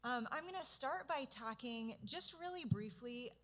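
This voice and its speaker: A soft-spoken woman, a pediatrician, giving an earnest conference presentation. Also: on the high side, expressive, moderate pace. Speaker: pediatrician speaker.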